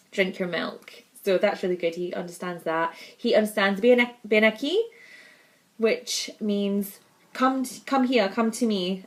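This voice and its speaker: A young woman with a Scottish accent, chatting casually and bubbly to camera in her vlog, laughing often. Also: fairly high pitch. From Scotland. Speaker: vlogger.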